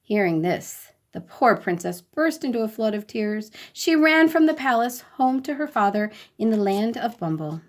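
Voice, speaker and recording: Female storyteller, slightly high register, expressive and deliberate, for children's bedtime tales.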